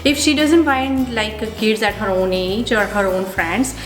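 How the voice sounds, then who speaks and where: A woman's voice: mid-range voice, a little slow. A woman in her thirties from India, speaking with an Indian accent. She is being interviewed and talks in a hesitant way, with many fillers and restarts. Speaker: interviewee, physical therapist. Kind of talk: conversational interview. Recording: echoey room with background music.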